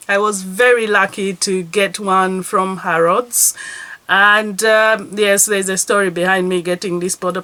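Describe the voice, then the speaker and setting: A woman's voice: medium pitch, sharp tone. A woman reviewing her perfume collection to camera in a chatty, gushing, enthusiastic way. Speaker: fragrance vlogger. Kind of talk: casual product review to camera.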